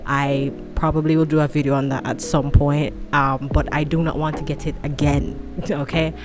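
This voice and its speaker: A woman's voice: low-pitched, level tone, moderate pace, music in the background. A woman in her late twenties chatting casually to camera at home, rambling and self-deprecating, a fan running nearby. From tropical country. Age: 20s. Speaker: vlogger.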